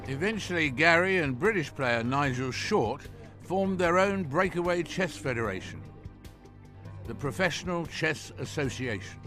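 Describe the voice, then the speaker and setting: A man narrating a documentary script in a measured, dramatic way and hosting the interview. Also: a little high, expressive, bright, forceful tone. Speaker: host and narrator. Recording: narration over clear music.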